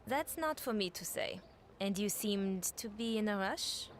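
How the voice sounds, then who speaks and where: Lady acting as a discreet wizard school envoy in a fantasy game, formal, measured, mid pitch, bit of noise behind. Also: lively intonation, natural voice. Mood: formal, gracious. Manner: measured, diplomatic.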